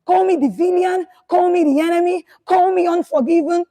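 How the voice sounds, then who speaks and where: A woman's voice: extremely high pitch, steady tone, normal speed. A woman playing Favour, a grieving vengeful lawyer. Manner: impassioned, shouting through tears, defiant. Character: grieving vengeful woman lawyer whose baby was killed. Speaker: monologue performer. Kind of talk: dramatic monologue.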